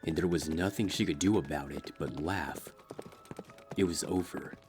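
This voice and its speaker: A man reading a dark fanfiction story aloud in a slow, grave, steady narrating style. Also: rumbling low, music in the background. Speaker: fanfiction reader.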